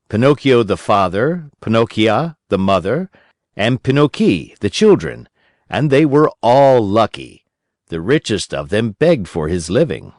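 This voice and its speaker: Storybook old father, a woodcarver played by a male narrator: exasperated, exclamatory, very animated pitch, dry close sound. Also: dry recording. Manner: exclamatory, scolding and lamenting. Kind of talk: storybook character voice in audiobook.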